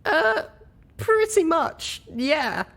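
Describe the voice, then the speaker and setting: A man voicing a small, awkward young female pony, anxious and squeaky, in a storybook reading. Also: big pitch swings, drawn-out words, gravelly, bright, forceful tone, heavily acted. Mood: nervous. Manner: squeaky, hesitant, blurting. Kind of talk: audiobook character voice.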